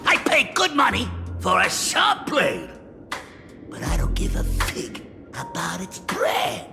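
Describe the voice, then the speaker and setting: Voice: mid-range voice, huge pitch swings, slow pace, gravelly, long reverb tail, over music, full character voice. An actor voicing a boastful, sly and jovial aging gang boss in a video game, in a theatrical manner. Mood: boastful, scheming. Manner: theatrical, chuckling, swinging between mutters and exclamations.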